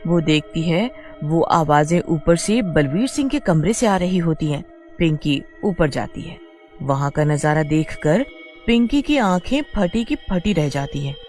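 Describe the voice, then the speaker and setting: A woman narrating a cartoon story in a smooth, expressive storytelling manner. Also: low-pitched, unhurried. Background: faint music.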